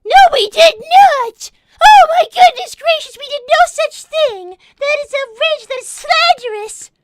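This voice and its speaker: A woman's voice: extremely high pitch, lively intonation, unhurried, noisy background. A woman voicing a prim, prissy young female rabbit girl who talks in formal, old-fashioned phrases, performed live as improvised character roleplay. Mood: outraged. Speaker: character voice actor on a live stream.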